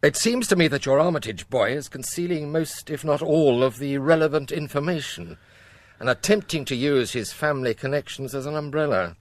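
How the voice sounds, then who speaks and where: A man's voice: a little high, a little fast, no echo, lightly acted. An actor playing a senior police chief in a radio drama, formal in his wording and dryly sardonic.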